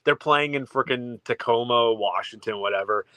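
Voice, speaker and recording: A man co-hosting a livestream in casual group chat, animated and laughing as he tells stories. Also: mid-range voice, normal speed, no room sound. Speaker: co-host of a livestream.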